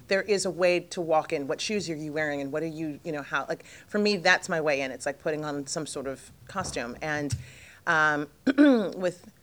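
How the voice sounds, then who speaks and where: A middle-aged woman with a low voice, jet-lagged, chatting in an interview as the guest actress, rambling with lots of fillers and restarts. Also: fairly bright tone. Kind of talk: casual interview chat.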